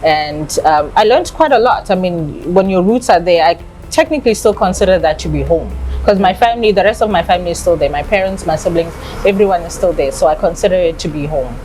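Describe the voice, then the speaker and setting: A woman in her thirties, raised in South Africa, answering interview questions with candid, animated storytelling. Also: on the low side, brisk, slight background noise, rough recording. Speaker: nail salon owner.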